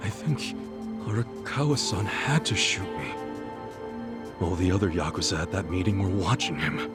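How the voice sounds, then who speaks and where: A man's voice: low voice, normal speed, loud music behind the voice, close to an everyday voice. A man playing an earnest, hot-headed middle-aged yakuza hero with blunt, informal speech in a video game cutscene. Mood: insistent.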